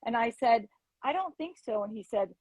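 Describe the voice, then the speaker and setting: An older woman, a former teacher, joining a live video chat by phone and excitedly telling stories, acting out quotes. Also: fairly high pitch, moderate pace, husky, dry recording. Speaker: former schoolteacher, guest.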